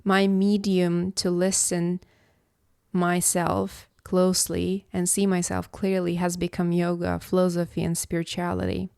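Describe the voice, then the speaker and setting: A woman from Istanbul, hosting a reflective solo podcast as a philosophy coach, speaking in a slow, contemplative, measured way. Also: lowish voice, monotone, vocal fry, a little mellow, clean tone, no echo, studio quality. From Istanbul, Turkey.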